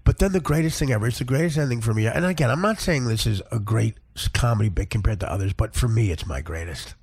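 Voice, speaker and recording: A man who works as a stand-up comedian, telling crude, rambling stories in a casual, profane, animated way. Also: mid-range voice, fast, husky.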